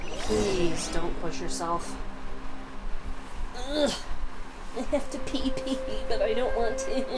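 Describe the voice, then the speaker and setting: A woman's voice: a little high, extremely slow, pauses often, heavy background noise. A woman streamer giving casual, playful live gameplay commentary, half muttering to herself. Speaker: game streamer. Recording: loud music behind the voice.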